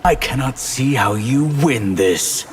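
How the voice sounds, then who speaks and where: A man's voice: mid-range voice, animated, slow pace, slight background noise. A man playing a grave, formal water mage in a fighting game, solemn and ominous. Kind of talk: fighting game character acting.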